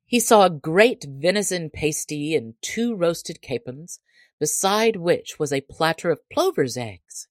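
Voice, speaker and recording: Low-voiced woman narrating a storybook. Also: lively intonation, measured pace, no room sound, clean studio sound. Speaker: audiobook narrator.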